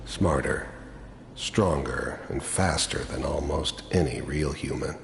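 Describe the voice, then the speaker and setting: An actor playing a cynical, hardened middle-aged mercenary in a video game, with a gravelly voice and a wry, world-weary way of confiding. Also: male voice, takes it slowly, exaggerated voice. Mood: grim reflective. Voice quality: gravelly, very deep. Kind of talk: video game character monologue. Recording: echoey hall reverb with background noise.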